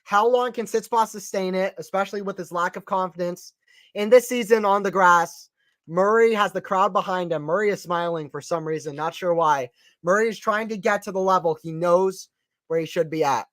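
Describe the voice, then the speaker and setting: A man's voice: sits high, normal speed, no echo. A man from the American South with a slight Southern accent, giving casual live play-by-play commentary on a tennis match and chatting with viewers. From Atlanta, Georgia, US. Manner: excitable, rises sharply on big points; casual, chatty, answers chat questions.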